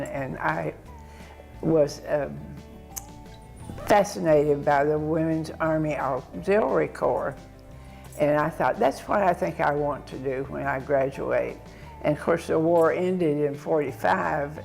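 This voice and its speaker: Slow, gravelly-voiced elderly woman. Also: deep voice, a little mellow, rough recording. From Tennessee, United States. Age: older. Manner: unhurried, with fillers and throat clearing. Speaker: veteran guest. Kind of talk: recalling wartime memories.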